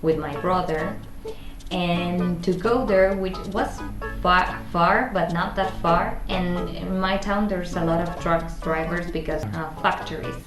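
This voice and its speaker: A woman in her twenties from Argentina with an Argentine Spanish accent, chatting casually as a vlog host in a halting way, searching for words. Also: fairly low pitch, steady tone. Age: 20s. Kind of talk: casual chat to camera. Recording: roomy echo, guitar music behind. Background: guitar music.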